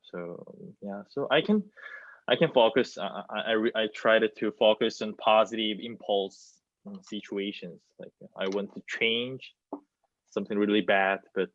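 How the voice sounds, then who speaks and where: A man's voice: on the low side, no echo. A young man from South Korea with a Korean accent, answering hesitantly and searching for words on a video call. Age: young adult. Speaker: student panelist, aspiring actor. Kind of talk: unscripted discussion answer. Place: plain room indoors, video call. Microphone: wireless earbuds on video call. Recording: dull, lo-fi video call audio.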